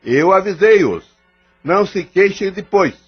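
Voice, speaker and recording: A man's voice: high-pitched, expressive, a little slow, slightly rough, heavily acted. A man with a Brazilian accent voicing a menacing, resentful spirit, bitter and threatening, in an audio drama. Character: menacing, resentful male spirit giving warnings. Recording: slightly muffled, music behind.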